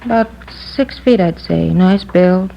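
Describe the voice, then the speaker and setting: Female market clerk: casual, distracted, lowish voice on a muffled vintage radio recording. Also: creaky. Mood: casual, recalling. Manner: casual, halting, distracted between customers. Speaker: market counter clerk being questioned. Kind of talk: 1950s radio drama, naturalistic. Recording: dull, faintly noisy old radio recording.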